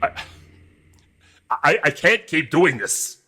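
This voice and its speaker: An actor playing a weary, reluctant president in an audio drama, speaking in a curt, resigned manner. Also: male voice, a little high, big pitch swings, slow pace, pushed and bright, husky, full character voice. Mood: anguished resignation. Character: weary, reluctant male president of a conquered Earth.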